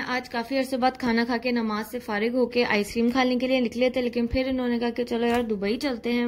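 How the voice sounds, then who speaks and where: Chatty woman vlogger, light faint hiss. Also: on the high side. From Pakistan. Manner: teasing, chatty, laughs often. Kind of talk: casual chat and vlog narration. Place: home kitchen, then a car at night.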